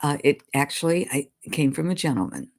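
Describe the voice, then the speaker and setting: A woman psychic giving her weekly message in a casual way, her voice deep and hoarse from congestion as she recovers from illness. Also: very animated, fairly quick, a little mellow. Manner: chatty, apologetic, clears throat. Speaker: psychic channeling a spirit guide.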